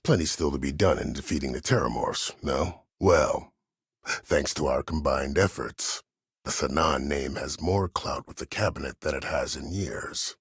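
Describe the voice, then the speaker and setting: A disgraced old admiral schemer in a video game, super low husky voice, formal and cold. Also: male voice, dry recording, studio quality, heavily acted. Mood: calm, calculating. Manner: measured, formal, deliberate, coolly persuasive. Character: imprisoned disgraced old admiral, calculating, coldly courteous schemer. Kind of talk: video game character dialogue.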